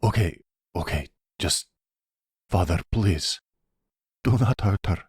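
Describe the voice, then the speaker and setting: A man acts out a mafia boss's grown son in an audio roleplay. He is submissive and pleading, with halting, slow delivery. The voice is low and very husky, recorded dry. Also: lively intonation, darkish tone, no echo, exaggerated voice. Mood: fearful pleading. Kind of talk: ASMR roleplay acting.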